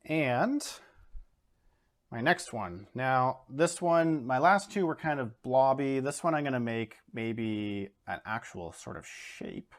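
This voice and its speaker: A man's voice: mid-range voice, slow. A man, an art educator in his home studio, talking casually through a painting demo with lots of ums and uhs. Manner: relaxed, talks through steps with many fillers.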